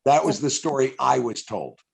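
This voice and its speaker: An older man with an American accent hosts a casual interview. His pitch sits in the middle for a man. He is effusive and fond of reminiscing, and he often repeats his phrases as he talks. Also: lively intonation, moderate pace, creaky, darkish tone, slight background noise. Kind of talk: casual, chatty live interview. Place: indoors on a video call.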